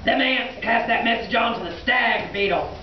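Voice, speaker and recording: A woman's voice: fairly high pitch, moderate pace, background noise. A woman telling a story to children at a live puppet show, theatrical in how she draws out and repeats words. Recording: echoey room, distant dull mic, crowd. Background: audience of children.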